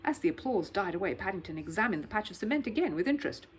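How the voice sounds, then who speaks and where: A woman reading a children's story as an expressive, dramatic narrator. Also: mid-range voice, very fast, slightly rough. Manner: animated, dramatic.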